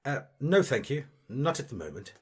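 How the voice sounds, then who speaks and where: A man's voice: mid-range voice, sing-song, normal speed, slightly rough. A man playing a vain, snobbish amateur actor in a comic audio drama, with affected, gushing, pompous delivery. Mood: wary.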